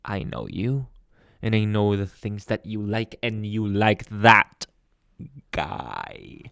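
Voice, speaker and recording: Man acting a brooding, gravelly possessive boyfriend. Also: lowish voice, big pitch swings, fairly bright tone, dry recording, clean studio sound, heavily acted. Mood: brooding, jealous. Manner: slow dramatic pauses, dropping into whispers. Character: possessive jealous young boyfriend, obsessive, brooding, dominant. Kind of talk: ASMR boyfriend roleplay.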